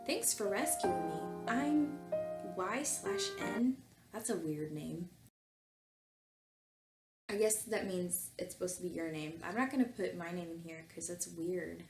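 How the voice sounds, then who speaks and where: A woman's voice: lowish voice, measured pace, over music. Echoey woman, wry and conversational. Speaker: video host and fan fiction reader. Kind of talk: casual comedic commentary and reading aloud. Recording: roomy echo with faint background noise.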